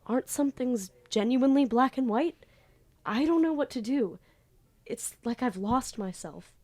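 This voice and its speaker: A woman's voice: on the high side, animated, measured pace, darkish tone, no echo. A woman acting an anxious, defensive young student magician in an amateur audio drama, earnest and self-pitying. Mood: lost, dejected.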